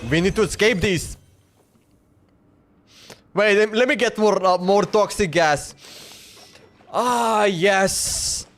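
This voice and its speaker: A man's voice: sits high, takes it slowly, pauses often, a bit bright. A man streaming a game with casual, playful live commentary, often repeating his phrases.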